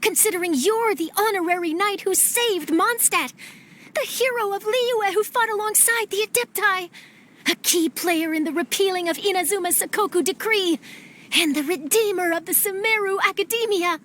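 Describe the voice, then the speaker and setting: A woman's voice: very high-pitched, moderate pace, fairly bright tone, a little hiss or hum. A woman voicing an eager, nosy young female reporter in a game cutscene, chipper and persuasive. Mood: upbeat. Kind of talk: video game cutscene dub.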